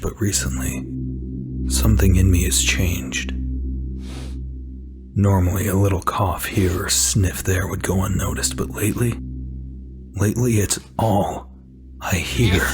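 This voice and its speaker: A man voicing a neurotic, brooding office worker in a dramatic first-person horror narration, deliberate and often dropping to a breathy half-whisper. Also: low voice. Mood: brooding, bitter. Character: neurotic male office worker unraveling over other people's noises. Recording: loud music under the voice. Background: music.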